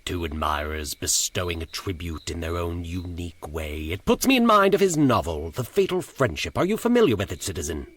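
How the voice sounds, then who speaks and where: A man voicing a calm, commanding, mysterious stranger in a horror story, speaking formally and with faint amusement. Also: deep voice, fairly quick, hardly any pauses, exaggerated voice. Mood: smooth, indulgent. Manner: unruffled, formal, old-fashioned, faintly amused. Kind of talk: dramatised horror short story.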